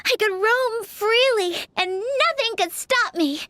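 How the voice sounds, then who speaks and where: A woman's voice: very high-pitched, takes it slowly, sharp tone, dry recording, heavily acted. A woman voicing a shy, earnest young aspiring bard in a video game, hesitant and apologetic in the way she talks. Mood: overjoyed. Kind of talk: video game character acting. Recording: music behind the voice.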